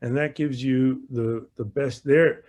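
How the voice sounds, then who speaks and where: A man's voice: medium pitch, steady tone, slow, darkish tone. A man working as a golf coach, explaining things conversationally in a live question session, often repeating phrases as he goes. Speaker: golf coach hosting an online Q&A. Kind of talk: conversational instruction.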